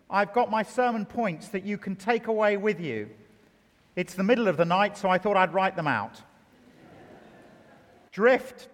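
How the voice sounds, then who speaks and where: A man's voice: sits high, a bit bright. A man preaching a sermon in a church, telling stories and stressing key phrases with emphasis. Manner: storytelling, emphatic. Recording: long hall reverb.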